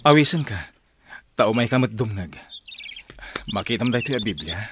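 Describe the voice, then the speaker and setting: A man's voice: on the low side, animated, moderate pace, close to an everyday voice. Man acting devout travelling preacher, noisy recording. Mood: pleading. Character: devout travelling preacher on horseback, peaceable and pleading. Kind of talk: radio drama acting. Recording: noisy old radio drama recording.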